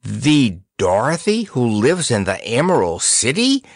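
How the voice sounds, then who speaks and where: A man's voice: high voice, expressive, measured pace, dry recording, clean studio sound, voice pushed up. A man voicing a shy, earnest, flustered young prince in a storybook. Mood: astonished.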